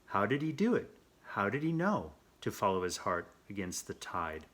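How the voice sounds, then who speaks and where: A man reading a children's picture book aloud as host, playful and theatrical, with expressive asides and questions. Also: lowish voice, moderate pace. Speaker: storyteller, host of a read-aloud show.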